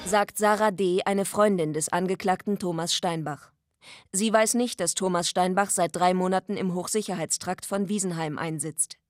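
A woman's voice: lowish voice, fairly even intonation, moderate pace, dry recording, close to an everyday voice. An actress playing a driven, outspoken woman investigative reporter in a radio drama. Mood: crisp, reporting.

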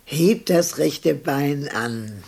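High-voiced, weary elderly carer. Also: male voice, expressive, very slow, vocal fry, darkish tone, slightly rough, exaggerated voice. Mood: weary, chiding. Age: older. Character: impatient elderly carer of a bedridden partner. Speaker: radio drama actor. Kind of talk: radio drama dialogue.

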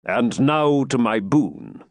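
A man voicing a confident medieval king for a storybook, with measured, formal, archaic speech. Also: medium pitch, lively intonation, dry recording. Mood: solemn, commanding.

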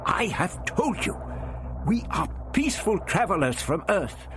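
A man's voice: high-pitched, sing-song, a little slow, noisy background, voice pushed up. A man doing a testy old doctor. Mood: defiant. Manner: snapping, testy. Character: indignant elderly male doctor, defiant.

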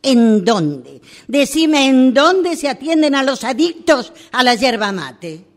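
Radio play: actress as long-suffering, exasperated Argentine wife, high-pitched, with big melodic swings. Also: female voice, sing-song, slow, full character voice. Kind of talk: radio drama acting.

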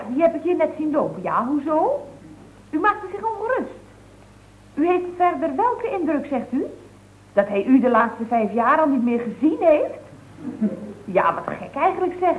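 Gossipy female secretary, old radio comedy, high swooping voice, teasing mock innocence, noisy vintage recording. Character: chatty, mischievous female office secretary at a building firm. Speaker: actress in a radio comedy serial. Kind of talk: 1970s radio comedy acting.

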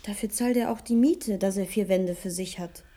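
An actress playing a young adult daughter in a radio play, calm, curious and reassuring, brushing off her mother's fuss. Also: mid-range voice, moderate pace, close to an everyday voice. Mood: placating. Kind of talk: German radio play acting.

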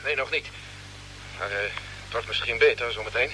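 A man's voice: medium pitch, a little fast, sharp tone, lightly acted, poor audio. A male actor playing a dutiful spaceship crewman reporting to his captain in a radio play. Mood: subdued, watchful. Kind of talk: mid-century radio play acting. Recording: old radio recording with faint hum.

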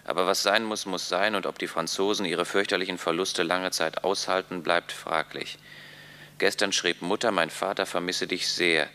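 A man's voice: sits low, normal speed. A man voicing a weary soldier writing home. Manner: subdued, flat letter reading.

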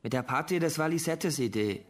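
An actor playing a teenage schoolboy suspect in a German radio crime drama, explaining himself in a hesitant, defensive, uneasy way. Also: male voice, on the high side, brisk, lightly acted. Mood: defensive confession. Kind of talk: German radio play acting.

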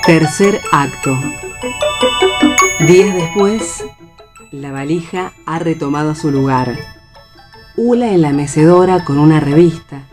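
An Argentine woman narrates stage directions for a radio play reading. Her voice sits low, with faint music behind it. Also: slow pace, pauses often. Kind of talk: scripted narration of a read play.

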